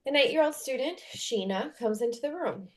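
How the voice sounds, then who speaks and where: A woman's voice: high voice, animated, brisk. A woman reading script stage directions as understated narration.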